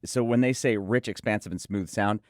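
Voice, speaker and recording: A man's voice: lowish voice, normal speed, a little mellow, dry recording, studio quality. A man co-hosting a product preview, reviewing gear conversationally with emphatic, enthusiastic praise.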